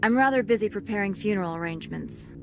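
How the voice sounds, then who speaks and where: An actress playing a stern, fair-minded security captain and ex-marine in a video game, formal, measured and dry. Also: female voice, medium pitch, over music, close to an everyday voice. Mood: stern, restrained. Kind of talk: scripted video game acting.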